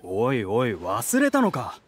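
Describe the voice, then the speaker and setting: An actor playing a fussy, caring young troupe member in a Japanese game, expressive and admonishing. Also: male voice, medium pitch, unhurried, slightly rough, exaggerated voice.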